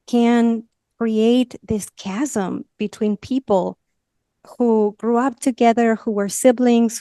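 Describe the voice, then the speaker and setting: Argentine woman with a smooth voice. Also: medium pitch, mellow, no room sound, clean studio sound. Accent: Argentine Spanish. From northern Argentina. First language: Spanish. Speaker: guest, communication coach. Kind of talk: podcast interview.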